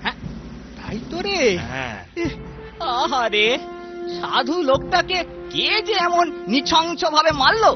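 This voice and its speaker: Squeaky, bumbling guard. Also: male voice, unhurried, long pauses, full character voice. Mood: startled, curious. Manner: excitable, exclamatory, bantering. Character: squeaky, bumbling one-eyed male guard, teasing. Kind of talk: comic cartoon voice acting. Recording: dull sound with clear music behind.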